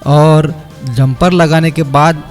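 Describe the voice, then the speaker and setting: Man, higher voice, technician talking viewers through a repair, music under. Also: steady tone, takes it slowly. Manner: explanatory, step by step, addresses viewers as friends. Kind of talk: voice-over repair tutorial. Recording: quiet background music under voice-over. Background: light background music.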